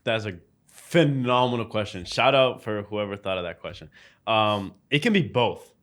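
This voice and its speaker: A man's voice: on the low side, normal speed, fairly bright tone. A young man whose first language is Russian, a podcast guest answering in a laid-back way that trails off. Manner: laid-back, trails off, bursts into laughter telling stories. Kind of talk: casual podcast interview.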